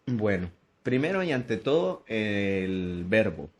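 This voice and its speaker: A man's voice: fairly low pitch, big pitch swings, slow, slight background noise. A man with a Colombian accent, a guest in a casual chat, talking in a friendly, easygoing way. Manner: friendly, laughs often, easygoing. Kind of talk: casual conversation.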